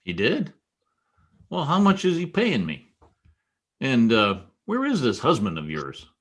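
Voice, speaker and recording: A man's voice: mid-range voice, huge pitch swings, a little slow. An American man playing a tough, wry private detective in a 1940s radio noir style, clipped and slangy. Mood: tough, impatient. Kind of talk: 1940s radio noir acting with first-person narration.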